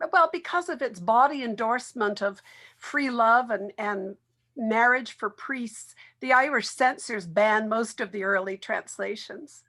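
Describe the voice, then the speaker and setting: A woman's voice: on the high side, sharp tone. Woman explaining literature in an interview. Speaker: literary expert being interviewed.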